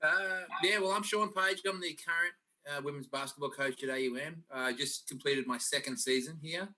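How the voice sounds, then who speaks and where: A man's voice: on the high side, a little fast, pushed and bright. A middle-aged Australian man, a basketball coach, introducing himself casually over a video call, often restarting his sentences.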